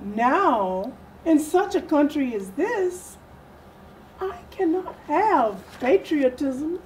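An older woman delivers historical oratory as a dramatic reading. Her high voice moves very slowly and solemnly, pausing between phrases. There is noticeable room noise behind her. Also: animated, low-quality recording. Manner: deliberate, solemn, with long pauses. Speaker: readers theatre performer. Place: small furnished indoor room.

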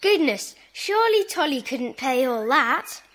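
A woman's voice: sits high, big pitch swings, unhurried, a bit bright, exaggerated voice. A woman playing an eager, emphatic schoolchild in a children's audio drama. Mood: curious. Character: eager, indignant, kind schoolchild.

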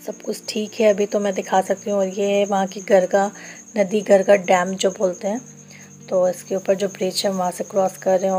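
A woman's voice: medium pitch, dark tone. Chatty woman narrating her train trip. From Bokaro, Jharkhand, India. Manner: chatty, explains each step to viewers. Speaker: travel vlogger. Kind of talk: casual travel vlog narration. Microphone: phone camera held while filming.

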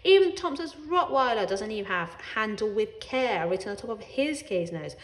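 A woman reading a children's story aloud in an expressive way, with dramatic emphasis on words. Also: on the high side, a little fast, echoey room.

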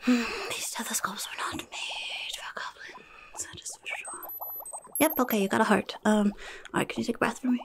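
A woman's voice: fairly high pitch, moderate pace, vocal fry. A young woman playing a rude, scatterbrained goblin nurse in an ASMR roleplay, half whispering, muttering and stammering impatiently. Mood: distracted, fussing. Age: young adult. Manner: muttering, stammering, impatient, talks in third person. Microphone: close microphone.

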